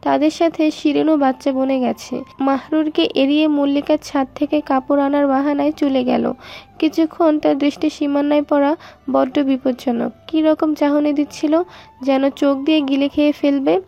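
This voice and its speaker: High-pitched woman narrating a story. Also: steady tone, moderate pace, creaky, warm tone.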